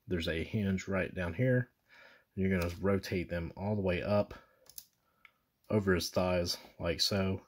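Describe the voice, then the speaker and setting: A man with a deep, low-pitched voice talks viewers through a toy step by step. He is casual and chatty, with fillers and self-corrections. He is recorded indoors at a table by an off-screen camera mic. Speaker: toy reviewer. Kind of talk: casual hands-on toy demonstration. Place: indoors at a table with a posterboard backdrop.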